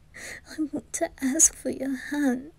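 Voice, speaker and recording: A woman voicing a trusting, easily frightened, lovestruck teenage boy in an ASMR roleplay, breathy and hesitant. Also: high voice, fairly even intonation, takes it slowly, exaggerated voice. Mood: tender, hopeful. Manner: breathy, hushed, hesitant delivery. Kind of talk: whispered ASMR roleplay acting.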